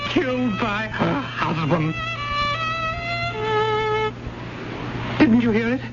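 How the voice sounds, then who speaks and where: An actor playing a volatile, needy, murderous professor in a theatrical, insistent old-time radio drama style. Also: male voice, sits high, lively intonation, unhurried, over loud music. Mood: manic, commanding. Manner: theatrical, insistent, repeating himself. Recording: muffled, very noisy old radio recording.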